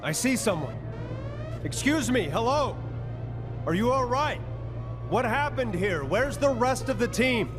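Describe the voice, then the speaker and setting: An actor playing an earnest, courteous superhero team leader in a video game, with a commanding manner. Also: male voice, very high voice, very animated, measured pace, long pauses, over music, full character voice. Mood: concerned.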